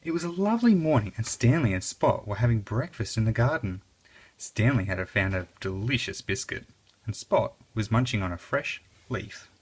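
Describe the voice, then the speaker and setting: Animated storybook reader with a husky edge. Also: mid-range voice, normal speed. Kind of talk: expressive read-aloud children's story narration.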